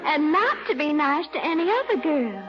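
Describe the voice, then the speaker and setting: An actress playing a coy, gushing, flirtatious sweetheart in melodramatic 1940s radio comedy style. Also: female voice, high voice, sing-song, moderate pace. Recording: dull old radio recording, music behind.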